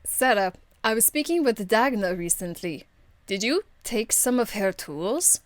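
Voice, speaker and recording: A woman voicing a stern, dignified noblewoman warrior of faith for an amateur game voice demo, speaking in a formal, measured, earnest way. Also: mid-range voice, lively intonation, dry recording, natural voice. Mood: questioning.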